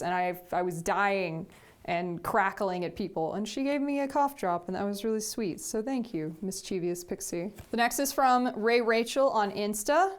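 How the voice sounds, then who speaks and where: A young woman chatting casually as she opens fan gifts, gushing and repeating herself. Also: medium pitch. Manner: gushing, repeats "sweet" often. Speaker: vlogger. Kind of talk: casual gift unboxing chat.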